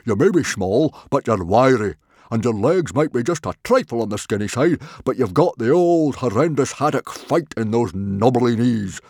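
A man's voice: medium pitch, animated, moderate pace, darkish tone, dry recording, studio quality, pitched above the performer's own voice. A man voicing a huge, hearty Viking chief who roars his words with boisterous cheer. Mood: rousing.

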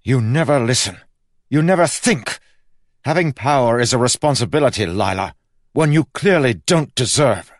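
A man voicing a furious young man whose voice rises into angry shouting. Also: very deep voice, measured pace, vocal fry, hardly any pauses, no room sound, clean studio sound, heavily acted. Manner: shouting, rising, accusing. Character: furious young man, sharp-tongued, losing his temper.

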